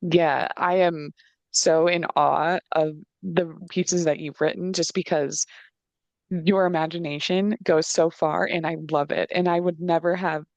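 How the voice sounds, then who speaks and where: A woman's voice: on the low side, fairly even intonation, unhurried, no echo, muffled recording. A young woman hosting a casual interview over a video call on a headset. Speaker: interviewer, show producer. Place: indoor room, on a video call.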